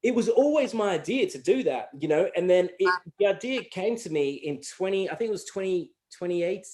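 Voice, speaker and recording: A man's voice: sits high, brisk. A man, a hip-hop artist who grew up speaking Gujarati, talking in a casual interview, telling stories animatedly and with many restarts. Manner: animated storytelling, acts out quoted exchanges; frequent fillers and restarts.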